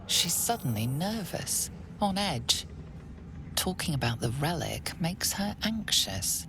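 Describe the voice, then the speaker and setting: An actress playing a solemn, mysterious narrator in a dark fantasy game, measured and ominous, with a gravelly, creaky voice. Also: female voice, sits low, big pitch swings, background noise, exaggerated voice. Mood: observing.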